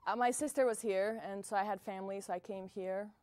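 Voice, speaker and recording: A young woman from Bosnia, a singer-songwriter guest answering interview questions in a soft, hesitant way with many fillers, in a small music venue. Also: medium pitch, fairly even intonation, a little fast, no room sound.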